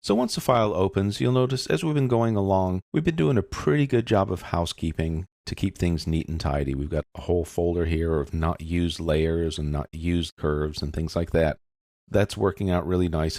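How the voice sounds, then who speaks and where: A man narrating a software tutorial in a casual, step-by-step explaining manner. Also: sits low, no echo, studio quality. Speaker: software instructor.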